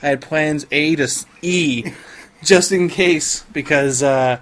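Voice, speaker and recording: A man's voice: on the high side, slow, a bit bright. A man hosting a podcast, chatting casually as he interviews his guests over some background noise. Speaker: podcast host.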